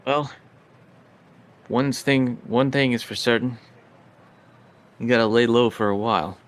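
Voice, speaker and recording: A man playing a laid-back older uncle from a crime family in a tabletop game, terse and unhurried. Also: medium pitch, steady tone, lightly acted. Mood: weary, resigned.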